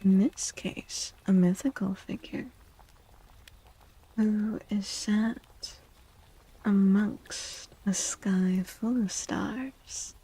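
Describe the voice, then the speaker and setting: A woman speaking in a soft ASMR style as a streamer doing a tarot reading, hushed and often slipping into whispers. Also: medium pitch, steady tone, extremely slow, husky, low-quality recording.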